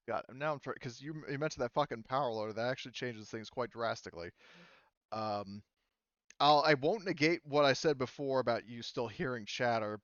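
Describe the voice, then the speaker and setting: A man with a smooth, clean voice runs a tabletop game as its game master. He works out his rulings aloud, hesitant and casual. The audio is dry and slightly dull. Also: medium pitch, a bit bright, no echo. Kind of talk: improvised tabletop game narration.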